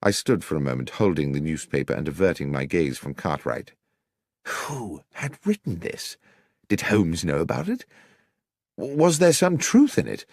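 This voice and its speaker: A man with a British accent narrating a scripted first-person story in a measured, dramatic manner. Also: sits low, sing-song, a little mellow, dry recording, clean studio sound. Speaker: audiobook narrator.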